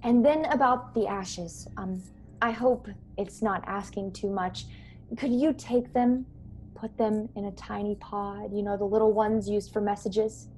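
A woman acts as a young female officer aboard a starship in a science-fiction radio play. She is earnest and courteous, persuading gently in measured, polite phrases. Her mid-pitched voice comes over a video call with some background noise. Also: fairly even intonation, close to an everyday voice. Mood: polite, wistful. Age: young adult. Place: small bedroom, indoors. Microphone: earbuds on a video call.

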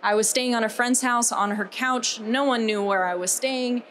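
A young American woman telling a personal story as a keynote speaker at a conference, conversational and a little halting. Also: a little high, fairly even intonation, fairly bright tone, slight background noise. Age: young adult. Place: on a stage at a conference.

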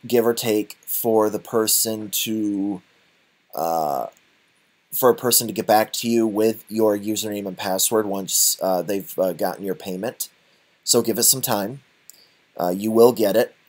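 A man presenting an unscripted software tutorial, speaking haltingly with frequent uhs as he walks through each step. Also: on the low side. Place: voice-over for a screen recording.

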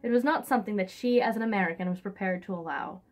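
A woman's voice: mid-range voice, fast. Wry, theatrical young woman over background music. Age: young adult. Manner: wry, sarcastic, theatrical, swears freely. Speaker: book reviewer, host. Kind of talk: casual comic book recap with read-aloud excerpts. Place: indoors, small room in front of a hung sheet. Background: classical music.

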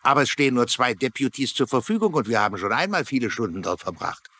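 An actor playing a gruff, sceptical small-town sheriff with a dry, blunt manner in a dubbed crime drama. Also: male voice, mid-range voice, very animated, fast talker, fairly bright tone. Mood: matter-of-fact. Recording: faint noise under dialogue.

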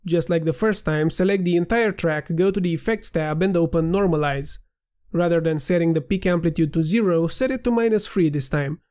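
Male tutorial narrator, a touch nasal, higher pitch, clear and steady. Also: fairly quick. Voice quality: slightly nasal. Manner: clear, steady instructional delivery. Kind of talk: scripted screen-recording tutorial voiceover.

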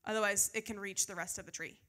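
A woman's voice: medium pitch, fairly even intonation, fast, sharp tone. An American woman preaching as a pastor in an informal, self-deprecating way, mixing scripture reading with chatty questions to her listeners, on a handheld mic. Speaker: pastor preaching to a youth group. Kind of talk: conversational sermon with scripture reading. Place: church stage before a seated audience. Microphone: handheld microphone.

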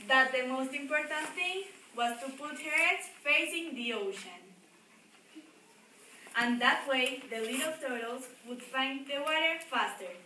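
A woman's voice: high voice, pushed and bright. A young woman reading a story aloud to schoolchildren in a classroom, recorded from across the room. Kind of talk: read-aloud story with questions to listeners. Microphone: distant camera across the room. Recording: echoey room, distant mic, background noise.

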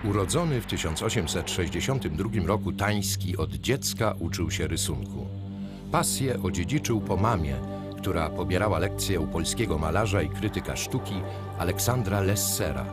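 A very deep male voice reads documentary narration in an even, measured way. Loud orchestral-style music plays behind him throughout the voice-over. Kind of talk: scripted narration.

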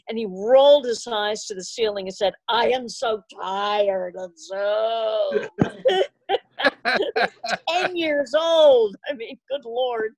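Older woman chatting, slightly high voice. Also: vocal fry, a little mellow, no room sound, rough recording. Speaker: meeting organizer. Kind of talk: casual video-call chat. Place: online video meeting. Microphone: video call.

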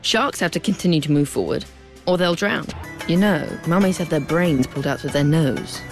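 A man playing a serious, matter-of-fact youth with a deadpan, measured delivery. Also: a little high, faint music behind, lightly acted.

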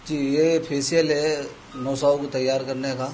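A man's voice: medium pitch, soft background music, low-quality recording. A young man, the salon owner, lists his services into a handheld mic in a small salon. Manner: slow, flat listing of services. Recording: light background noise.

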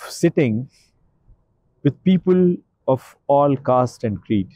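A man's voice: mid-range voice, expressive, slow, dark tone, no room sound. An older man, a guest in an outdoor interview, speaking earnestly and emphatically, often repeating himself for emphasis, in a stone courtyard.

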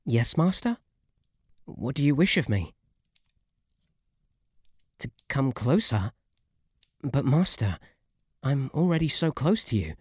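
A man with a British accent playing a shy, devoted, submissive bunny butler in an intimate ASMR roleplay, softly spoken with a formal, deferential, faltering manner. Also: medium pitch, very animated, slow, long pauses, husky, no room sound, clean studio sound, full character voice. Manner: flustered, deferential, formal, stammering. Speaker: roleplay audio performer. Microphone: close, dry microphone.

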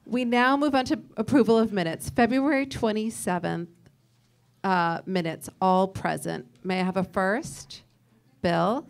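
A woman chairing a public board meeting, briskly calling motions and reading resolutions aloud in a large meeting room. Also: fairly low pitch, gravelly. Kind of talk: formal meeting procedure.

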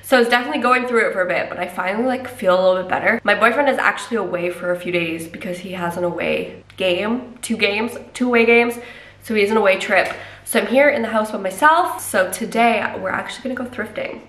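A woman's voice: mid-range voice, fast talker, a bit bright. A young woman in her twenties vlogging casually, chatty and upbeat with a lot of "like", sounding slightly congested from a recent cold. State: a little congested. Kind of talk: casual vlog chat and voiceover. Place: apartment room, indoors.

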